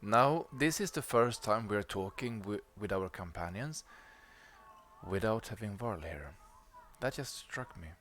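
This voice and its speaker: A man's voice: fairly low pitch, expressive, unhurried, a bit bright. A man giving casual let's play commentary in a musing, conversational way. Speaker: gameplay commentator.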